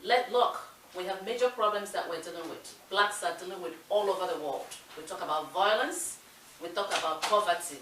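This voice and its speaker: A woman's voice: lowish voice, fairly quick, fairly bright tone, recorded from a distance. A Nigerian woman co-hosting a talk show speaks with earnest emphasis. She sits a little back from the mic in an echoey room with a faint hiss underneath. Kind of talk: casual discussion.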